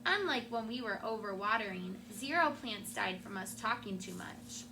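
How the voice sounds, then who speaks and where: A woman teacher reading a picture book aloud to her class in an expressive storytelling way, with asides to the children. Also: medium pitch, normal speed. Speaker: elementary school teacher. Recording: clearly noisy classroom recording.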